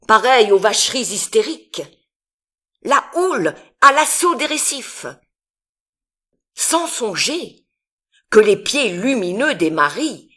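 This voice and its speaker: A woman giving a dramatic, expressive recitation of literary poetry, with deliberate phrasing and long pauses between phrases. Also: a little high. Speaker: poetry reader.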